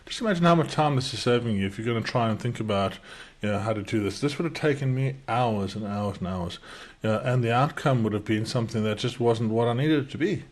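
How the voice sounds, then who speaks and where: Fast-talking South African man. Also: medium pitch. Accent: South African, softened by decades in the UK. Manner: fast-talking, with many restarts and fillers. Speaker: entrepreneur demonstrating software. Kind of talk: casual screen-share tutorial. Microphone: webcam in a small circle over the screen recording.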